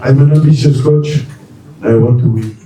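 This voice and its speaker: A middle-aged man with a French accent, a new football coach speaking at a press unveiling in halting English, restarting words and using short plain sentences. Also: medium pitch, dark tone, a little hiss or hum. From France and Mali. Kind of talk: formal speech at a press unveiling. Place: press conference hall. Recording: echoey room, far from the mic.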